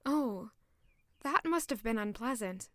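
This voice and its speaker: An actress playing a young female palace servant who is polite and deferential, speaking softly. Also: on the high side, animated, unhurried, no echo, clean studio sound. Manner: polite, deferential and soft-spoken. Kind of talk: audio drama acting.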